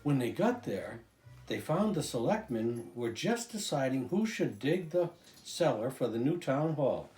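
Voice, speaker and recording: An older man reading a children's storybook aloud, drawing out key phrases with deliberate emphasis. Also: medium pitch, very animated, a little mellow, reverberant, soft background music. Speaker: storybook reader, grandfather.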